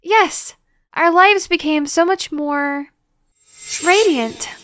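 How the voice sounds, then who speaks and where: Woman acting shy, high-voiced bookish young scientist. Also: slow, fairly bright tone, dry recording, exaggerated voice. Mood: awkward, earnest. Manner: hesitant, flustered, polite, wordy. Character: shy, bookish, awkward young female scientist, gentle and modest. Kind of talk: audio drama acting. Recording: music under the voice.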